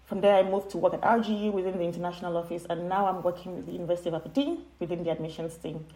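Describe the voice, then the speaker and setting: A woman with a Nigerian accent and a slightly low voice, recorded in a roomy, echoey space. She talks conversationally as a podcast guest, in an informal, chatty way with hesitant phrasing. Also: fairly even intonation, very fast, dark tone. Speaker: guest, university postgraduate admissions officer.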